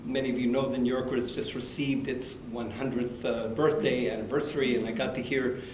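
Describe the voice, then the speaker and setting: A man gives a measured, formal introduction at a university literary reading, mostly reading from prepared notes with the odd filler. His mid-range voice carries in a roomy hall, with faint ambient noise behind it. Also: mid-range voice, flat delivery. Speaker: event host introducing the speaker.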